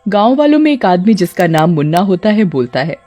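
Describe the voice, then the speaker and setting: A woman's voice: on the low side, sing-song, fairly quick, warm tone, soft background music. A woman narrating a scripted cartoon horror story.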